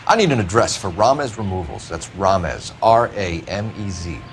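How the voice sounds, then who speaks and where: An actor playing an earnest, driven 1940s American police detective in a video game, with a clipped, businesslike way of questioning. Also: male voice, sits low, lively intonation, normal speed, slight background noise. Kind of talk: video game cutscene acting. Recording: music behind the dialogue.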